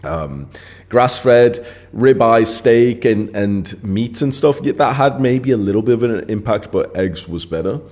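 A man's voice: medium pitch, lively intonation, measured pace, darkish tone. A man in his late twenties hosting his own channel, talking blunt and casual straight to camera, swearing freely and leaning on filler words. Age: 20s. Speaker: channel host.

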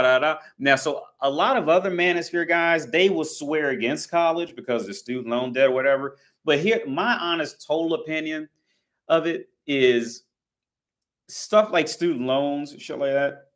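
Man, American accent, thirties, vlog monologue, rambling, lots of restarts, blunt, swears casually, mid pitch. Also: normal speed, a bit bright. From United States. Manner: rambling, many restarts and fillers. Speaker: vlogger.

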